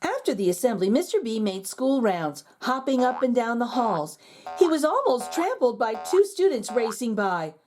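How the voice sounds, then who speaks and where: A woman reads a children's picture book aloud as the host of a reading channel. Her storytelling is expressive and sing-song, with lively swings in pitch. Her voice sits a little high, and its tone is warm and mellow. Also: normal speed, soft background music.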